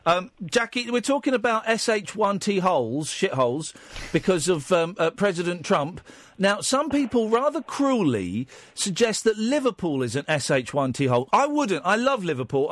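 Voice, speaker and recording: A man hosts a late-night phone-in, teasing his callers with mock flirting. His voice sits high and swings widely in pitch. The studio sound is close and dry. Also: fairly bright tone, no echo. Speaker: radio show host. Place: radio studio. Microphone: studio mic.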